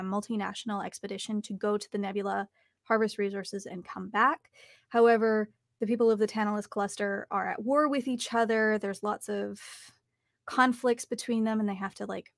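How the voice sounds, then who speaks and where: A woman's voice: mid-range voice, fairly even intonation, moderate pace, creaky, no room sound, clean studio sound. A young woman talking casually as an interview guest about her voice acting work. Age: young adult. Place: small home recording studio, indoors.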